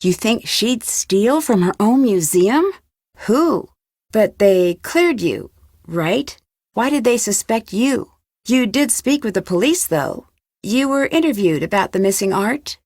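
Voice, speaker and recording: A woman's voice: mid-range voice, expressive, takes it slowly, a little mellow, no room sound, studio quality. A woman playing a curious, composed young female detective in an adventure game, asking direct and unflinching questions.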